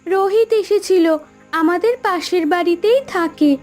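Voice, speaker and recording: A very high-pitched young wife and daughter, anxious and soft-hearted. She speaks with pleading emotion over faint music. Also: moderate pace. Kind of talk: Bengali cartoon voice acting.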